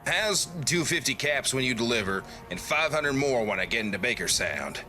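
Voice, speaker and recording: A man's voice: mid-range voice, very animated, normal speed, pushed and bright, soft background music. A man voicing an amiable, down-on-his-luck traveler in a video game, chatty and wryly explaining things. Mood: friendly, businesslike. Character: amiable down-on-his-luck male traveler offering paid courier work. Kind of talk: video game dialogue acting.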